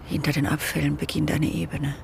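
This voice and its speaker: A woman acting a weary, disoriented driver in a poetic radio monologue, speaking in fragmentary, halting, often hushed phrases. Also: deep voice, level tone, vocal fry, a little hiss or hum. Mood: fragile, dazed.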